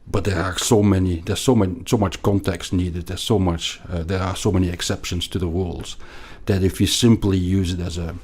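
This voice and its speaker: A man with a Belgian accent explaining things as an interview guest, haltingly and emphatically, often repeating words. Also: deep voice, steady tone, darkish tone, husky. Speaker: podcast guest, investment analyst.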